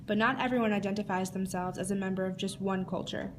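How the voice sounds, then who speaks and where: A young woman reads a scripted introduction clearly and at mid pitch. A faint hiss runs underneath. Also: fairly even intonation, quick pace. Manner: clear, read-aloud delivery. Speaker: narrator. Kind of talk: scripted narration.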